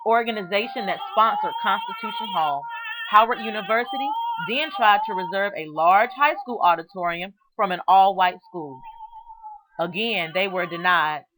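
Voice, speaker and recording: A woman reads a children's storybook aloud as narrator, with measured, expressive storytelling and deliberate phrasing. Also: medium pitch, very noisy. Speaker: reader of a children's book aloud.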